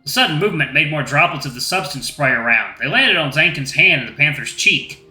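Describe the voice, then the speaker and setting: A man's voice: a little high, expressive, a little fast, pushed and bright. A man hosting a gaming channel, reading a visual novel aloud with casual asides to viewers. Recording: echoey room, faint music.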